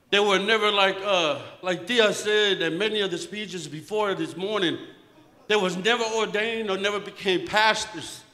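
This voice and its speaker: A man with a bright, high voice and a Tongan accent tells a eulogy full of easygoing jokes. He holds a handheld mic in a big banquet hall, and the sound rings with a long, roomy reverb. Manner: warm joking storyteller, laughs between lines. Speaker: eulogy speaker. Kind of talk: personal eulogy. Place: large banquet hall, indoors.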